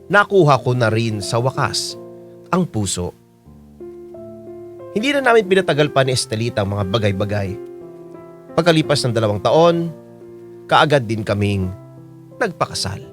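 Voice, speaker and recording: A man's voice: medium pitch, close to an everyday voice. A man narrating a radio drama in the first person as an older suitor recounting his romance. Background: guitar and piano music.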